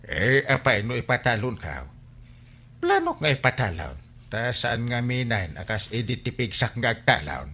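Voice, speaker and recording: A man with an Ilocano accent playing an excitable, complaining village man in a comedy radio drama. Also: medium pitch, moderate pace, slightly rough, exaggerated voice. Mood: agitated.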